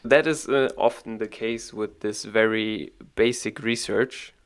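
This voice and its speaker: Gravelly-voiced male podcast host. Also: lowish voice. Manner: calm, summarizing, measured. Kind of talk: podcast interview hosting.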